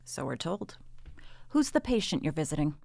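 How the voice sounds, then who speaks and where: A woman's voice: low-pitched, sing-song, normal speed, vocal fry, darkish tone, dry recording, exaggerated voice. A woman playing a sceptical state police detective in an audio drama, terse and probing. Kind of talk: dramatic podcast acting.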